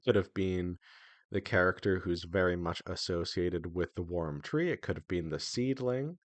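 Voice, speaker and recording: A man with a low voice speaks as an indie developer hosting a devlog. His delivery is informal and conversational, with hesitant phrasing that often restarts. The sound is dry, with very little room in it. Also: moderate pace, dry recording. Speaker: indie visual novel developer and devlog host. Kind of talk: casual devlog talk.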